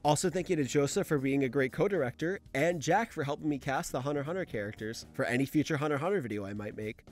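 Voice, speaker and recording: A man's voice: a little high, quick pace. A man narrating a video essay in an informal, opinionated, conversational way, as the host of his channel. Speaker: host of a manga analysis channel. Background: background music.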